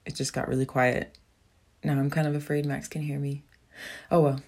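A woman's voice: low-pitched, little pitch movement, moderate pace, darkish tone. A young woman hosting a casual solo podcast from a small bathroom at home, rambling with lots of fillers and frequent laughs. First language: English. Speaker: podcast host.

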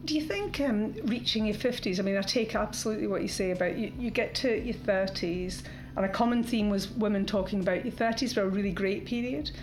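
A woman in her fifties leads the interview in a relaxed, chatty way, asking personal questions at a middle pitch. There is steady noise behind her voice on the recording. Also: fairly quick, few pauses. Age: 50s. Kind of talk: conversational interview.

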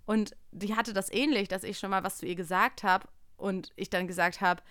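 A young woman co-hosting a podcast in a casual, personal chat. Her voice sits at a medium pitch, and she tells stories in an animated way. The sound is dry, with very little room in it. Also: moderate pace, no room sound. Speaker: podcast co-host.

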